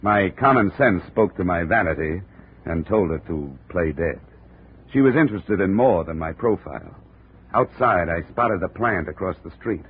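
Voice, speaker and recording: An actor playing a wry, hard-boiled insurance investigator who narrates a 1950s radio drama in dry, sardonic first person. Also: male voice, low-pitched, animated, moderate pace, slightly rough. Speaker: narrator and lead. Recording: tinny old radio broadcast, faint hiss.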